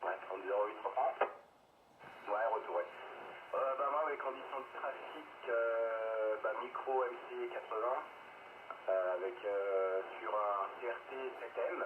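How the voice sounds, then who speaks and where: A man with a mid-range voice talks casually as a radio operator over a two-way link. His transmission is narrow and lo-fi, with clear noise and a strong echo. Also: on the low side, measured pace. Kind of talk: casual radio group exchange.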